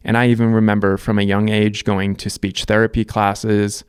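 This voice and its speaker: Canadian man, 30s, low smooth voice, relaxed reflective storyteller, close dry mic. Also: normal speed, dry recording. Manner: reflective, loose, lots of fillers and restarts. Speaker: vlogger. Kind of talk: casual life-story monologue.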